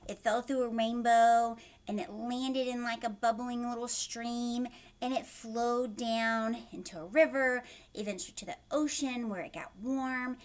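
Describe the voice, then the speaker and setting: Slow, expressive woman reading to children. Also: on the high side. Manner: expressive, asks the listeners questions. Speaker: teacher reading a picture book to young children. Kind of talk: read-aloud storytelling with asides to young children.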